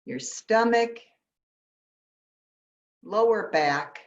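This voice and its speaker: A woman pastor leading a guided meditation in an unhurried, gentle manner with long pauses, speaking in short instructions. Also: mid-range voice, expressive. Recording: dull, lo-fi sound.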